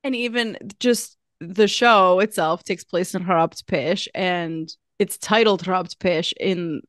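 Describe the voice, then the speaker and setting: A woman's voice: fairly low pitch, measured pace, fairly bright tone, dry recording, clean studio sound. A young woman co-hosting a podcast, chatting casually with many fillers and restarts. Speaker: podcast co-host. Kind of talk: casual chat.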